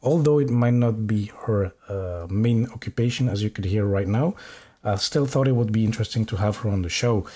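Mellow-voiced man hosting a podcast. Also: fairly low pitch, fairly quick. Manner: hesitant, many filler sounds and restarts. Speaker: podcast host. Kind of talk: casual interview hosting.

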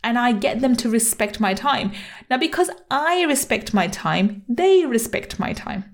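A woman's voice: a little high, expressive, unhurried. A middle-aged woman hosting a solo podcast as a coach, talking conversationally and often repeating herself. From England (born in Manchester, grew up in London). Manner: conversational, repeats herself, frequent "you know". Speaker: podcast host, money mindset coach.

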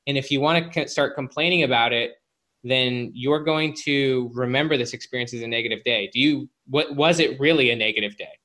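A man's voice: mid-range voice, sharp tone. A man speaking as a guest psychologist in a conversational interview, explaining informally with lots of filler words like "like" and "you know".

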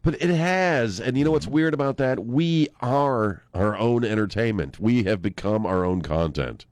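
A man's voice: medium pitch, lively intonation, moderate pace, darkish tone, no echo, clean studio sound. A man hosting a morning talk radio show, stuffed up with a chest cold, wry and jokey as he chats with his co-hosts. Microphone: studio microphone.

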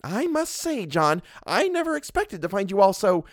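A man's voice: high-pitched, big pitch swings, moderate pace, dry recording, studio quality, voice pushed up. A man reading a story and voicing an imperious, fussy middle-aged woman who scolds and condescends. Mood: haughty scolding.

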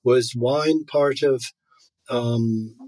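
A man's voice: mid-range voice, steady tone, drawn-out words, darkish tone, no echo, rough recording. A man hosting an interview, courteous in his questions, often stumbling and repeating words. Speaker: host, interviewer.

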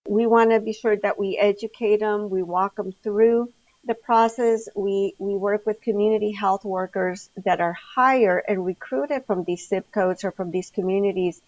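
A woman's voice: medium pitch, steady tone, moderate pace, a little mellow, dry recording. A woman in a community health director role explains programs in a plain, patient way, sometimes repeating a word. The clip sounds dry and somewhat muffled, with a lo-fi quality. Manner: explanatory, occasional repeated words.

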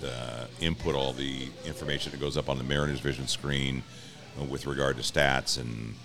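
A middle-aged man with an extremely low voice tells stories as an interview guest. His manner is easygoing and wry, wandering a little as he casually explains things. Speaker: stadium public address announcer, interview guest. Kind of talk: casual conversational storytelling.

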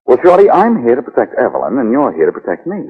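An actor playing a glib, wisecracking, flirtatious private detective in 1940s radio style. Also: male voice, medium pitch, wildly swooping pitch, fast, slightly rough, heavily acted. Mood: wry, wary. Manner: glib, teasing banter. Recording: muffled, lo-fi 1940s radio recording.

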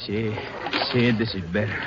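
A man's voice: level tone, moderate pace, crowd noise, natural voice. A man acting a menacing robber with a knife in a 1950s radio play, muffled old broadcast sound. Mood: threatening. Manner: threatening, low hissed commands.

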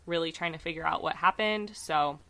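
A woman co-hosting a casual true crime podcast, her voice a little raspy, chatty and earnest with lots of fillers. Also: low-pitched, fairly quick, pushed and bright. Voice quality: slightly raspy from screaming. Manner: chatty, many fillers, earnest about cases. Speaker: podcast co-host.

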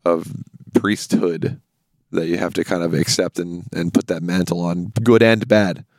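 A man's voice: deep voice, fairly even intonation, measured pace, creaky, darkish tone, no room sound. An American man in casual conversation, explaining things and carefully qualifying his own points. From US South.

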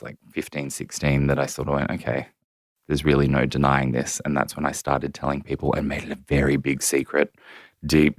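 An Australian man in his thirties, a podcast guest telling his story in a measured, candid way with frequent ums. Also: a bass voice, no echo, studio quality. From New South Wales, Australia. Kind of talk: reflective personal storytelling.

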